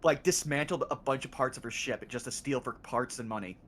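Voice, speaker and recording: A man chatting casually about a game he has already played, explaining its story eagerly with quick asides. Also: medium pitch, fairly bright tone. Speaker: co-host who has played the game before.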